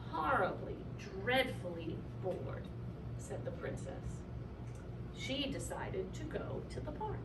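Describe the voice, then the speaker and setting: A woman reading a children's picture book aloud in an animated, deliberate way with dramatic emphasis. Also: a little high. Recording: echoey room, distant mic, clear noise.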